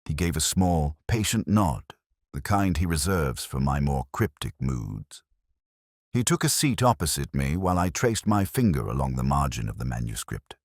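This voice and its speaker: A man narrating a scripted mystery as a brooding police detective, in a measured, literary and contemplative manner. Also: rumbling low, very animated, dry recording, studio quality, exaggerated voice. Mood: brooding, reflective. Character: brooding male police detective recounting a murder investigation.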